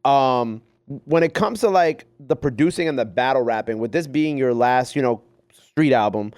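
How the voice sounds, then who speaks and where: A man's voice: medium pitch, expressive, darkish tone. A man hosting an interview, asking long questions while searching for his words. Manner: halting, searching for words, many fillers. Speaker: interviewer, radio host.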